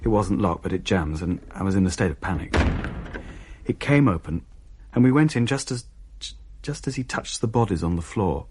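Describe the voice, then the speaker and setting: A man's voice: deep voice, expressive, normal speed, a little mellow, slight background noise. An actor playing a shaken man who recounts a horrifying haunting in a dramatic radio play. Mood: horrified, haunted. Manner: hesitant, haunted, dramatic storytelling. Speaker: narrator and character in radio play.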